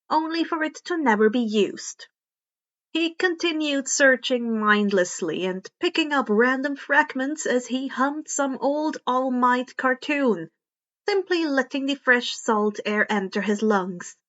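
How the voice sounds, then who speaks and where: Female fiction narrator, high voice, expressive scripted read, slightly dull lo-fi recording. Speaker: podfic narrator reading fan fiction.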